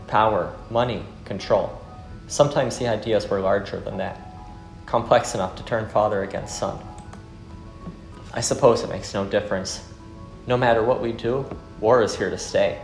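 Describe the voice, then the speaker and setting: A man playing a weary, brooding former soldier turned fisherman in a visual novel, speaking in a solemn, earnest, measured way. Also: lowish voice, creaky. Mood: grave, brooding. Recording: echoey room under music.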